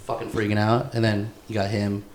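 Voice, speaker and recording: A man chatting casually as a podcast host, excitable and slangy, often repeating his phrases. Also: fairly low pitch, brisk, rough recording. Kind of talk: casual chat.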